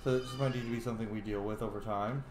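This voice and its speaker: A man's voice: fairly low pitch, steady tone, very fast, darkish tone. A man hosting a role-play gameplay video, moving between casual commentary and lines spoken as his character. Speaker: gaming video host playing and voicing his character. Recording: echoey room with faint background noise.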